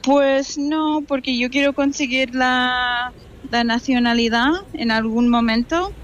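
A woman's voice: high-pitched, steady tone, slow. A young American woman, a native English speaker, chatting casually in an interview and slipping English phrases in now and then. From United States.

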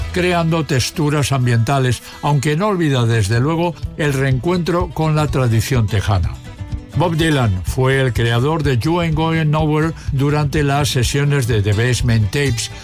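A man presenting a music segment on the radio with even, informative delivery. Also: mid-range voice.

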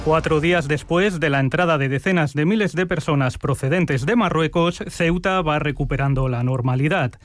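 A man reading radio news bulletins in a clear, measured way from a studio. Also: on the high side, slight background noise. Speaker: news anchor. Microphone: studio microphone.

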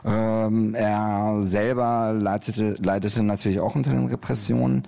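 A man's voice: fairly low pitch, little pitch movement, measured pace, creaky, warm tone. A middle-aged man from Iran, an author answering interview questions in a hesitant, thoughtful way with many fillers. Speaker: graphic novel author, interview guest.

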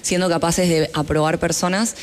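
A woman's voice: lowish voice, steady tone, moderate pace. A woman with a Latin American Spanish accent, answering interview questions in an earnest, explanatory, conversational way. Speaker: fintech founder, award-winning guest.

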